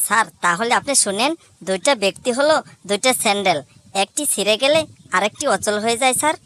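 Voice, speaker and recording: A woman's voice: fairly high pitch, lively intonation, fast talker, fairly bright tone. A woman voicing cheeky, argumentative schoolchildren in a comedy cartoon. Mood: cocky, cheeky. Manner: cocky, argumentative. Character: cheeky schoolchildren answering back to their teacher.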